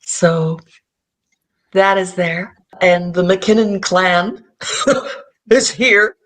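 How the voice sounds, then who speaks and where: A woman with a Canadian accent, a podcast guest telling history with enthusiasm between many pauses and fillers. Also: lowish voice, a little hiss or hum. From Scotland. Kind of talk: interview chat.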